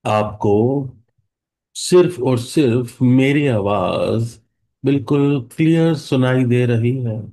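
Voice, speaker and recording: A man with an Indian accent leads a guided meditation in a hushed, calming way, leaving long pauses between short phrases. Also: mid-range voice.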